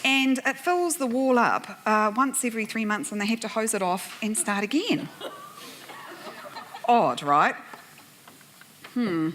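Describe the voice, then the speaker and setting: A woman's voice: fairly high pitch, expressive, unhurried, long pauses, a bit bright, a little hiss or hum. A woman with a New Zealand accent, moderating a conference panel and telling a humorous, self-deprecating story to the audience with frequent laughs. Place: conference plenary room.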